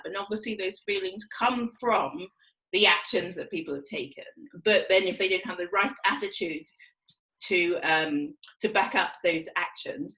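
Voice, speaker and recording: A woman's voice: fairly low pitch, bright, forceful tone, rough recording. A woman panellist speaking frankly and reflectively on a video-call panel, repeating her key points. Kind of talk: panel discussion.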